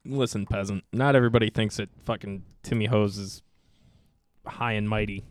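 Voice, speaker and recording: A man's voice: lowish voice, steady tone, normal speed, no room sound. A man co-hosting a casual joking podcast, crude and teasing, swearing freely and sometimes stammering over repeated words. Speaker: podcast co-host.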